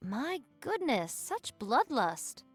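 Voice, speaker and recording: A woman's voice: high voice, sing-song, slow pace, slightly rough, faint music behind, full character voice. A woman voicing a haughty, genteel lady with lofty, archaic diction in an anime-style game. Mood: lofty, exasperated.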